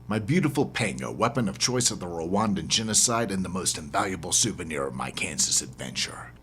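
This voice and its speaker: A man reading his own horror novel as dramatic first-person narration, husky and intense in delivery. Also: low-pitched, very animated, fairly quick, fairly bright tone, a little hiss or hum. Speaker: author reading own audiobook.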